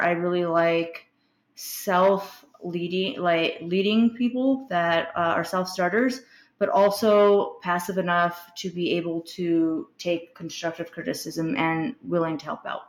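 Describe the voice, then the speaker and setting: A woman's voice: fairly low pitch, roomy sound. A woman who runs a spa, talking as a podcast guest in an earnest, hesitant way with many fillers. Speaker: med spa owner.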